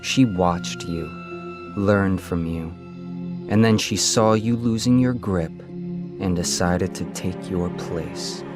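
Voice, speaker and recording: An actor playing a calm, otherworldly male god-spirit who tells a story in a measured, detached way in a game. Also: low-pitched. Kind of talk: voiced game narration. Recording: game music behind.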